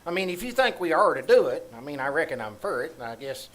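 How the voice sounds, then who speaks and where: Man acting a fussy, high-voiced Southern country storekeeper. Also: very animated, fast talker, fairly bright tone. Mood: agreeable, puzzled. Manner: folksy, stammering, querulous. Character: childlike, fussy, easily distracted rural storekeeper. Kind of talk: old-time radio comedy acting. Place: small-town theater stage. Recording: live stage, faint background noise.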